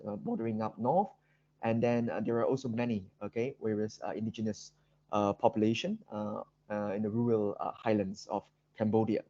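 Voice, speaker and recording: A man with a Malaysian accent giving an academic seminar presentation, speaking haltingly with very frequent ums and repeated words. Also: lowish voice, warm tone. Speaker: guest lecturer. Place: online video call seminar. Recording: dull, roomy video-call audio.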